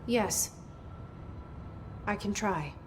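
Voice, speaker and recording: A woman acting a guarded, determined young agency director in a video game, terse and serious. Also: drawn-out words. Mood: grave. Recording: noisy rumbling background.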